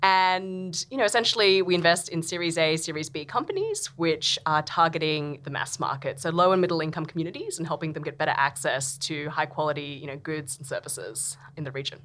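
Aussie woman, low voice, chatty investor interview, lots of you knows and little laughs. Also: expressive, brisk, hardly any pauses, a bit bright. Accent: Australian. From Australia. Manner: chatty, many fillers, laughs often. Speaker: venture capital investor. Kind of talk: interview answers.

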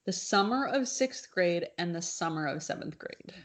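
A woman co-hosting a casual podcast chat, teasing her friend with quick reactions. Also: on the low side, animated. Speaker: podcast co-host.

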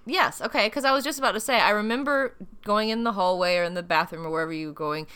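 A woman's voice: mid-range voice, quick pace, pushed and bright, clear, resonant tone. A tired woman co-hosting a podcast in casual, rambling chat. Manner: rambling, laughs often. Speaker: podcast co-host.

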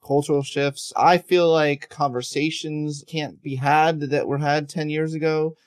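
A man's voice: on the high side, steady tone, a little slow. A man hosting a podcast, chatting in a loose, rambling, self-deprecating way with many restarts. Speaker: podcast host. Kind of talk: casual podcast chat.

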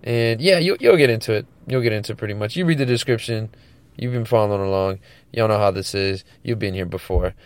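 A man's voice: fairly low pitch, fast. A congested man hosting his own podcast, talking in loose, laid-back slang with lots of fillers. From California. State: stuffed up, congested. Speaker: podcast host. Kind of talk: casual chat.

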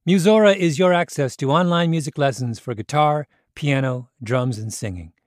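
A man's voice: on the high side, moderate pace, no echo, clean studio sound. A man reading a scripted advertisement in a conversational style. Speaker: ad announcer.

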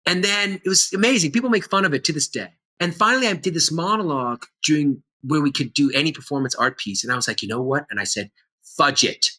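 A man's voice: a little high, quick pace, sharp tone. A middle-aged man, an actor and teacher, talking earnestly and with animation in a conversational interview.

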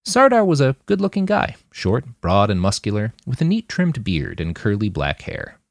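A man's voice: fairly low pitch, wildly swooping pitch, moderate pace, gravelly, no room sound, clean studio sound. A man reading scripted fiction as an audiobook narrator.

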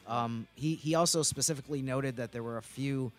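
Clear-voiced male sports co-host. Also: mid-range voice, brisk, soft background music. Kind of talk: casual analytical chat.

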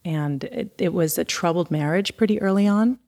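A woman's voice: lowish voice, moderate pace, a little mellow. A middle-aged woman from Taiwan and Long Island telling her family story as an interview guest, chatty and laughing. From born in Taiwan, raised on Long Island.

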